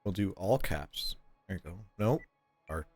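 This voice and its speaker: A stuffed-up man short of breath, rambling casually as he streams a game and clears his throat often. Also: sits low, animated, normal speed, no echo, soft background music. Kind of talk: casual gameplay chat.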